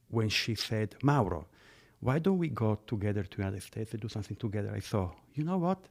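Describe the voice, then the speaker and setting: A man's voice: on the low side, darkish tone. A middle-aged man from Italy with an Italian accent, speaking as an interview guest about his family business in earnest, slightly non-native phrasing. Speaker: guest, gelato business owner. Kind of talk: interview conversation.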